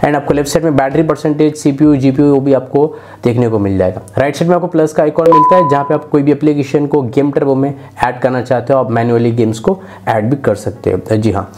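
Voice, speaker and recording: A man's voice: medium pitch, quick pace, few pauses, darkish tone, slight background noise. An Indian man presenting a phone feature walkthrough in an instructional way, mixing in many English words. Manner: instructional, frequent English loanwords. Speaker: tech YouTuber, presenter.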